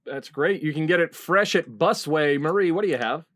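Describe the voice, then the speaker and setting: A man's voice: fairly high pitch, moderate pace, fairly bright tone. A middle-aged man playfully hosting a game show over a video call from indoors. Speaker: quiz show host.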